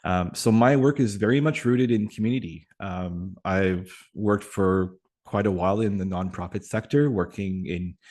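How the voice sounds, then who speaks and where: A man from Atlantic Canada whose first language is French, talking as a guest on a video call, reflective and pausing often with fillers. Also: lowish voice, a little mellow. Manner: thoughtful, many pauses and fillers. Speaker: guest, community facilitator. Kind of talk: reflective interview conversation.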